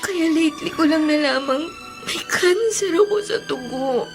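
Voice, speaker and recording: A woman's voice: high-pitched, moderate pace, soft background music, close to an everyday voice. An actress playing an ailing, tearful mother in a Filipino radio drama, speaking plaintively. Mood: grave, shaken. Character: ailing, tearful mother home from working abroad.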